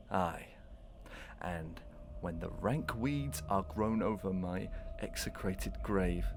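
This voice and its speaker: An actor playing a bitter condemned husband, menacing and declamatory, in a Victorian melodrama for audio. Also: male voice, low-pitched, slight background noise. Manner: declamatory, archaic, dramatic speech.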